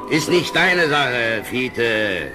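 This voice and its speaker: Scheming pub landlord, lively intonation, jovial, coaxing, audio drama, music in background. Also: male voice, medium pitch, extremely slow. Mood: conspiratorial, coaxing. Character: sly, genial male tavern keeper plotting shady deals. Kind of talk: German children's audio drama acting.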